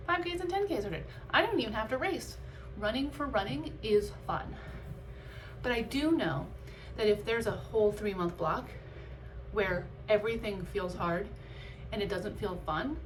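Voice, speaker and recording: Lady vlogger just talking candidly, medium pitch, thinking out loud, pretty noisy background. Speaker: vlogger and amateur runner. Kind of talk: casual, candid vlog chat.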